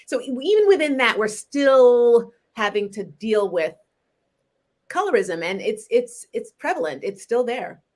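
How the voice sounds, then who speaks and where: A woman in her forties, a novelist from Canada, talking about her books in a relaxed interview, animated and often laughing. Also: a little high. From Montreal, Canada. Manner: chatty and animated, laughs often, frequent restarts. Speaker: novelist guest.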